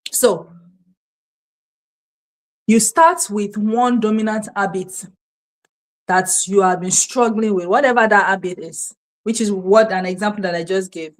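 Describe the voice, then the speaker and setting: A woman's voice: mid-range voice, low-quality recording. A Nigerian woman whose first language is Yoruba, hosting a motivational livestream, repeating key words and asking her audience rhetorical questions. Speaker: livestream host and self-help coach. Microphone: streaming setup, talking to camera.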